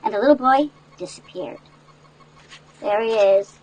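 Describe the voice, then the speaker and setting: An older woman reading a picture book aloud to children in an animated, folksy way, with chatty asides that explain things. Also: medium pitch, measured pace, muffled recording. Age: older, great-grandmother. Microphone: headset.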